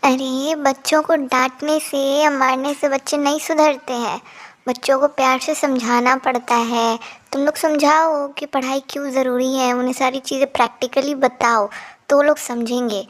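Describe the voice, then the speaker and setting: A woman playing a sensible village woman who reasons with and advises her friends in an animated story. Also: high voice, moderate pace, lightly acted. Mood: advising. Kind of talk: animated moral story dialogue.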